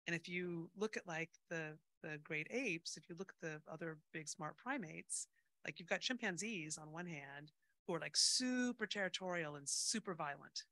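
Woman, middle-aged, bright forceful tone, informal, self-deprecating, animated conference speaker. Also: lowish voice. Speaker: speaker on stage. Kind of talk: casual unscripted conference talk. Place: indoor conference stage. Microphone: handheld microphone.

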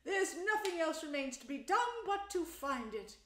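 Storybook mole, small excitable male played by a woman: high-pitched, gushing, needling, recorded in an echoey room. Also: moderate pace, exaggerated voice. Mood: mock admiring. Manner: effusive, exclamatory.

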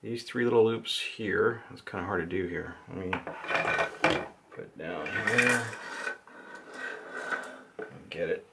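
Slow, gravelly man at a workbench. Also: low-pitched, heavy background noise. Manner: slow, halting, talks to himself while working. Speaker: hobbyist showing a radio modification. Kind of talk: casual hands-on demonstration. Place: indoors at a wooden workbench.

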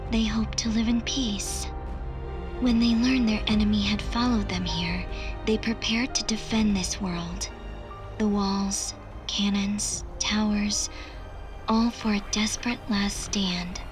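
An actress voicing a detached digital AI girl in a video game cutscene, measured and precise. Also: medium pitch, steady tone, natural voice. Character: calm female digital AI girl, cryptic. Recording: game audio with music underneath.

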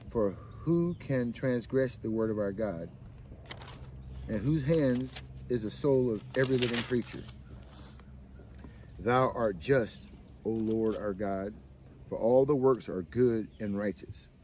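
A man reading the lament of a grieving aged mother, solemn and slow, in a dark voice. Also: lowish voice, slight background noise, natural voice.

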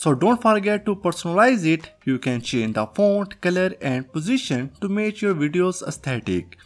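A man with a high-pitched, animated voice hosts a scripted software tutorial. He explains things step by step over background music. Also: moderate pace. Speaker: tutorial host. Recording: music behind the voice.

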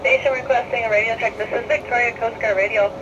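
Over a muffled, noisy radio link, a woman gives a formal procedural reply as a coast guard operator. Her voice is on the high side and sounds distant. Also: steady tone, fast talker. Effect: VHF radio.

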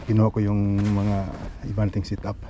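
A man's voice: lowish voice, completely flat delivery, brisk. A man with a Filipino accent chatting casually as he vlogs a fishing outing outdoors by the sea, recorded on a body-worn action camera. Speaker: fishing vlogger. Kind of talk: casual vlog chat while fishing. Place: outdoors on a seaside concrete pier. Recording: loud wind and outdoor noise. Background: wind, water, outdoor noise.

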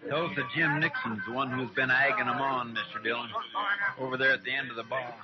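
Guy doing eager nervous western sidekick, american, talks fast and respectful, muffled old radio drama. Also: mid-range voice, steady tone, natural voice. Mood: worried, eager. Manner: eager, folksy, deferential. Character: anxious, deferential frontier lawman's sidekick. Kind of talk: 1950s radio western acting. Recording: dull old radio recording, music behind.

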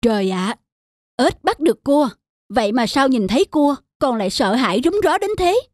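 Casting: cartoon mother frog, caring but admonishing, higher register. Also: very animated, normal speed, no room sound, clean studio sound, faint music behind. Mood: exasperated. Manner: admonishing, moralizing. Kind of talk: children's cartoon voice acting.

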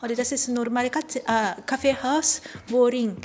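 A middle-aged woman from Japan with a Japanese accent, answering interview questions in halting phrases. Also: fairly high pitch, darkish tone. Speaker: café owner. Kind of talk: on-camera interview. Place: indoor café interview. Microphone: handheld interview microphone.

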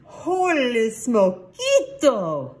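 A woman voicing an excitable little boy kitten who plays at being a Chihuahua, with playful mock-Spanish flourishes, in a storybook reading. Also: lowish voice, takes it slowly, exaggerated voice. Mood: bouncy, boastful.

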